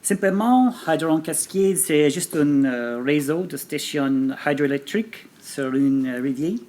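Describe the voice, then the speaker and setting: A man with a somewhat high voice gives a research pitch at a conference. He is a native English speaker working in a second language, so he goes haltingly and hesitates often. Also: animated, a little mellow. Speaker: government researcher presenting a poster pitch. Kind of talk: conference presentation. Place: live forum presentation.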